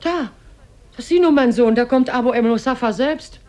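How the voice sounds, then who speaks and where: An actress playing an excitable, pious mother urging on her son in a 1950s German radio drama. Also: fairly high pitch, moderate pace, close to an everyday voice. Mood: excited.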